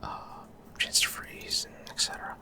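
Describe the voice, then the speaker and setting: Male ASMR gamer, fully whispered delivery, breathy, halting off-the-cuff explanations in short phrases. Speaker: gaming video creator. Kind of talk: whispered ASMR gameplay commentary.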